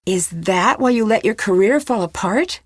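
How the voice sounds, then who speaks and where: A young American woman voicing a polite, inquisitive amateur detective in a scripted adventure game, composed and clearly spoken. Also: mid-range voice, lively intonation, normal speed, dry recording, clean studio sound. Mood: firm, accusing. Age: young adult.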